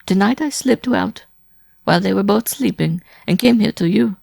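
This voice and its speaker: A man's voice: sits high, expressive, normal speed, gravelly, no room sound, clean studio sound, pitched above the performer's own voice, heavily acted. A man voicing a frightened, worn-out wife in an audiobook, pleading breathlessly in an overwrought way. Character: desperate frightened wife, worn-out former circus performer. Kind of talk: audiobook character acting.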